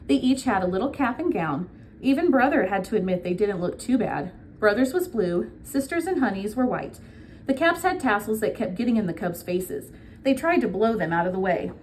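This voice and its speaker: A woman's voice: fairly high pitch, expressive, normal speed, a little hiss or hum. A woman reading a children's book aloud like a teacher, explaining simply and prompting her listeners with questions.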